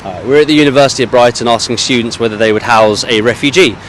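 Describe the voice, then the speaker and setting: A man's voice: mid-range voice, very fast, faint music behind. A man interviewing students on the street with a handheld mic, asking probing, leading questions and repeating his words. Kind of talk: vox-pop street interview. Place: outdoors on a street near a university.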